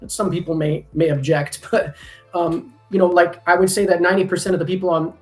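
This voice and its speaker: A man's voice: sits high, fairly even intonation, brisk, a little mellow, soft background music. A man in a casual podcast conversation, talking at length with frequent ums and restarts. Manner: rambling, many ums and restarts. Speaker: guest, language company founder. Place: lives in Taiwan.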